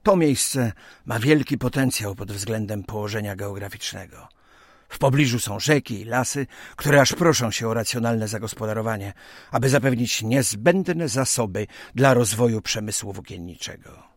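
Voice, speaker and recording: An actor playing a genial, businesslike 19th-century company president, speaking formally and expansively in a radio drama. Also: male voice, on the low side, moderate pace, no echo, studio quality, lightly acted. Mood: thoughtful, explaining.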